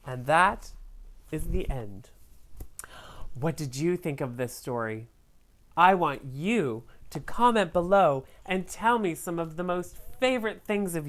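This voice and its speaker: A woman reading a children's picture book aloud in a theatrical, expressive way, speaking directly to young viewers. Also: fairly low pitch.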